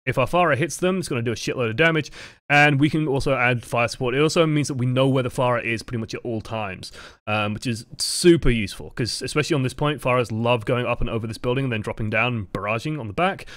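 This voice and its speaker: Casual young male coach, mid-pitch voice, unhurried, chatty, a bit sweary. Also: fairly bright tone, dry recording, clean studio sound. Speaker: game coach reviewing footage. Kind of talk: casual gameplay commentary.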